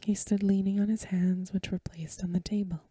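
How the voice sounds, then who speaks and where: A woman reads a story in a hushed, very breathy whisper. Her tone is soft and mellow, with a gentle delivery suited to ASMR. The sound is close and dry, with no room around it. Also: medium pitch, little pitch movement, normal speed, dry recording. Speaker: ASMR reader.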